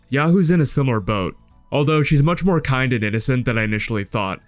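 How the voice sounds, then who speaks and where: A man giving casual scripted commentary in a blunt, sardonic, sweary way. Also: medium pitch. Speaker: video essay host reviewing a webcomic. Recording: music under the voice. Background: background music.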